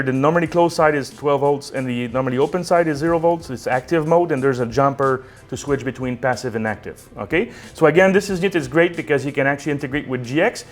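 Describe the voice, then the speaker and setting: Man, tech support lead doing a relaxed hardware walkthrough; talks in a somewhat high register, loose and conversational, peppered with "um" and "okay?". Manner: conversational, ends points with a checking "okay?"; fillers "um" and "uh" between phrases. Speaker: technical support director presenting a product. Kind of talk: casual product demonstration.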